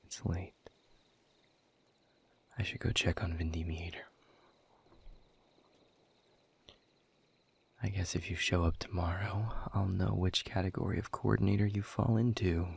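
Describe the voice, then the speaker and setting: A man playing a weary, bitter exiled demon in an audio drama, speaking in a gravelly, breathy half-whisper with a slow, sardonic delivery. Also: low voice, heavily acted. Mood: tired, wry. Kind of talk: dramatic audio roleplay monologue to the listener.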